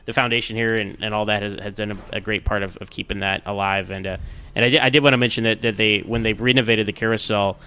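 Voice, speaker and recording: Quick talking guy, bright punchy voice, enthusiastic podcast guest just chatting. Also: lowish voice, steady tone, clear, resonant tone. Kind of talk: casual enthusiastic chat.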